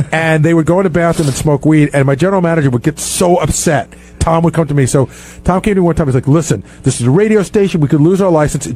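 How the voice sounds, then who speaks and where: Radio host, male, pitch a little above average, chatty and anecdotal. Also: a little mellow, a little hiss or hum. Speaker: radio show host and staffer. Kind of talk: casual chat, animated anecdotes.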